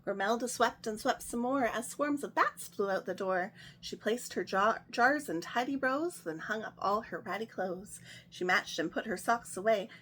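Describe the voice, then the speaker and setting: A woman's voice: mid-range voice, lively intonation, normal speed, slight background noise, poor audio. Sing-song woman reading to children. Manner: gentle, sing-song storyteller. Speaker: story time host. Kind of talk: reading a picture book aloud to children.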